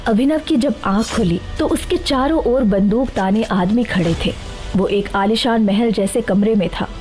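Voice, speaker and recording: A woman's voice: medium pitch, normal speed, a little mellow, faint music behind. A woman giving scripted dramatic narration for an audio story.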